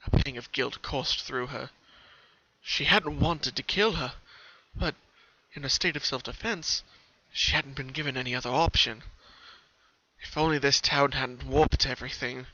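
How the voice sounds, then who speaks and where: A man narrates a story in a dramatic, scripted reading style. His voice sits at a medium pitch with a sharp, bright edge. The sound is dull and lo-fi, like a home recording. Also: a little slow, no echo. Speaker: fan fiction narrator.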